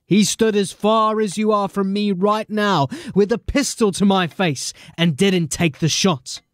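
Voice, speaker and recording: A man playing a determined, embattled police sergeant in a dramatic audio drama, speaking earnestly and deliberately. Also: high voice, steady tone, no room sound, clean studio sound. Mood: earnest, urgent.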